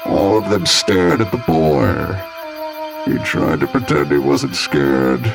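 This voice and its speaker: A man's voice: low voice, sing-song, vocal fry, over music, full character voice. A man voicing a menacing, grandiloquent villain who tells horror tales in a theatrical radio style. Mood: ominous storytelling. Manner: grandiloquent, dramatic, drawn-out delivery. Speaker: storyteller.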